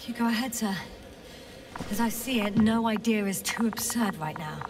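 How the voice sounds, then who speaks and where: Woman acting as worried space scientist, game drama, middle pitch, hushed measured delivery, earnest, noisy ambience. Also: recorded from a distance, natural voice. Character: thoughtful, worried woman space explorer and biologist, adult. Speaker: crew scientist.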